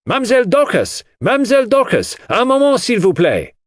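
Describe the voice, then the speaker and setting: Man acting an excitable, fastidious little male detective. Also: extremely high pitch, no echo, studio quality, voice pushed up, exaggerated voice. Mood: excited, urgent. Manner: precise, theatrical, exclamatory. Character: excitable, fastidious French-speaking male detective, pleased with himself.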